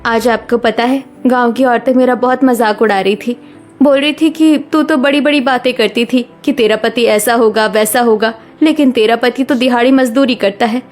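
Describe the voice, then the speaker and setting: Woman acting a high-voiced devoted young wife. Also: fairly even intonation, normal speed, close to an everyday voice. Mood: tender. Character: loyal loving young wife, gentle but firm. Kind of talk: Hindi cartoon story dialogue.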